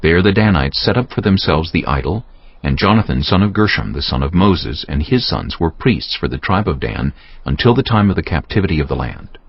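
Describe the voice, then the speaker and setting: Deep, gravelly male scripture narrator. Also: expressive, fairly quick, no room sound. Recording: muffled audio with prominent music underneath. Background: music.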